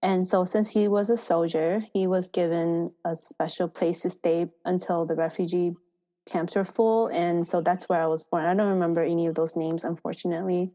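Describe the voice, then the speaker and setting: A woman's voice: fairly low pitch, steady tone, normal speed, mellow, highs cut off. A woman with an American accent speaking as a podcast guest in an informal, chatty interview style. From Thailand and United States. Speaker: podcast guest, farmer education manager. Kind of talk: conversational interview.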